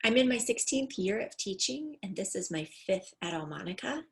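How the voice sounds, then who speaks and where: A middle-aged woman speaks as a teacher introducing herself to families. Her voice is of medium pitch, and her delivery is prepared and orderly. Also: expressive, moderate pace, a bit bright. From California. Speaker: English language development teacher.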